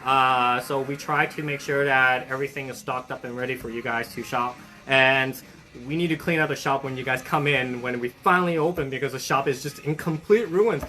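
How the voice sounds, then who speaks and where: Young adult hobby shop host, bright clear tone, chatty and effusive, faint music underneath. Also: mid-range voice, brisk. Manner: chatty and upbeat, with frequent fillers and restarts; friendly and effusive toward viewers. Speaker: hobby shop staff hosting a livestream. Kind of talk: casual livestream chat, greeting viewers and reading their comments.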